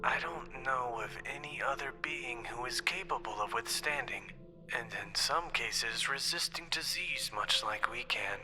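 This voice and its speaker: A man playing an isolated, reflective man recording a farewell message, speaking solemnly and deliberately with breathy phrase endings. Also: medium pitch, lightly acted, rough recording. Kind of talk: scripted dramatic monologue, recorded farewell message. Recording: voice over music.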